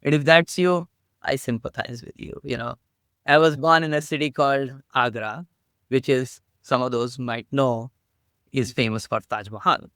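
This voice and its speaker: A middle-aged man with an Indian accent, a podcast guest telling his story in a playful, animated, self-mocking way. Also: medium pitch, normal speed, no echo. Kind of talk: casual interview talk.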